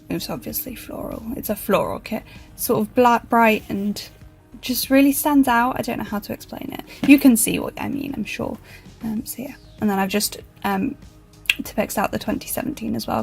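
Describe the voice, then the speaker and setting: A woman with a croaky voice, chatting casually over her planner video with a self-deprecating, rambling manner. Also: fairly high pitch. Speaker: planner vlogger.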